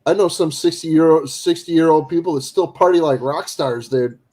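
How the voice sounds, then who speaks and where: A man in his forties, easygoing and quick to laugh, chatting in casual banter on a live stream. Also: a little high, a little mellow. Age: 40s. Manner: laughs often, easygoing, says dude. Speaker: panel regular.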